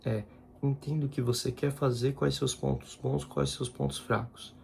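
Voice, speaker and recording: A man's voice: medium pitch, fairly even intonation, moderate pace, gravelly, darkish tone, a little hiss or hum. A man, a content creator talking casually in a personal vlog and often repeating himself. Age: 20s. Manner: informal, repeats himself, slangy asides.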